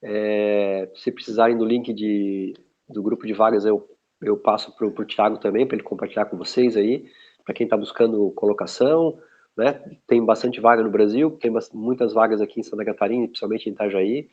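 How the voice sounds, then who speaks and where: A man's voice: on the low side, steady tone, normal speed, darkish tone. Rambling São Paulo man, lo-fi. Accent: São Paulo Portuguese. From São Paulo, Brazil. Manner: rambling, with many restarts. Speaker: guest, logistics specialist. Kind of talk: casual online interview. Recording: muffled lo-fi sound.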